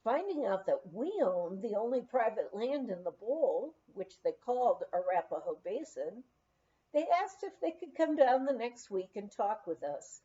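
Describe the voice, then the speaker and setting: A woman reading a memoir aloud in an expressive, unhurried storytelling manner. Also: on the high side, lo-fi sound. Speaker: audiobook reader.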